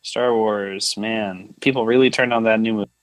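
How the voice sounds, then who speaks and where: A man's voice: on the low side, fairly even intonation, moderate pace, no room sound. A man co-hosting a podcast in casual chat, playfully riffing with short quips. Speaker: podcast co-host.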